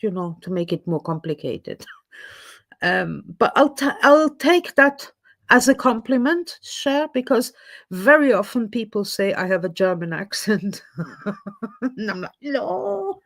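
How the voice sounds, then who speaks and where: Slow-talking woman chatting. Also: mid-range voice, very animated, fairly bright tone. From Switzerland. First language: French. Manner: rambling, chatty, often loses her thread. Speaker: live stream host. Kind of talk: casual live chat with viewers. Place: home, in Edinburgh.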